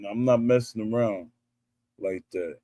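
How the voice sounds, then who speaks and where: A man's voice: lowish voice, unhurried, mellow, no room sound. A middle-aged man hosting a podcast, telling stories in a casual, rambling way, often restarting and correcting himself, and reading out live chat comments. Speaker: podcast host. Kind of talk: casual livestream storytelling chat.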